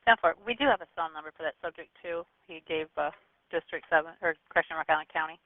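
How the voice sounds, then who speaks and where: Male dispatcher, high pitch, rapid procedural delivery, through a tinny narrowband radio. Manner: brisk procedural phrasing. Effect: two-way radio. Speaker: police dispatcher. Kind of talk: police dispatch radio traffic.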